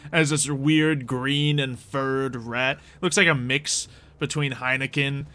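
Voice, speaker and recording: Bright-voiced man running a tabletop game. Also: mid-range voice, fairly even intonation, takes it slowly. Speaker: game master. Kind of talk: casual tabletop roleplay narration.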